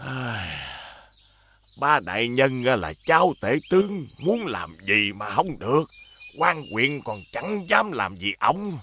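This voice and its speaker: A man voicing an elderly, fussy village gardener in fear of his master, in an animated fairy tale. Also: medium pitch, takes it slowly, slight background noise, heavily acted. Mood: anxious, flustered. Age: older. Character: elderly village gardener, anxious servant of a tyrant.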